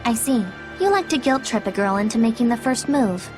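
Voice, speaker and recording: A woman's voice: fairly high pitch, lively intonation, fairly quick, music in the background, natural voice. An actress playing a blunt, cynical, teasing girl spirit in a video game, with a dry, sardonic manner. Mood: teasing challenge. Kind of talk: English video game dub acting.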